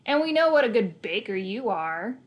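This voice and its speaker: A woman's voice: fairly high pitch, sing-song, moderate pace. A woman voicing a smug young girl in a children's storybook, teasing and mocking her sibling rival.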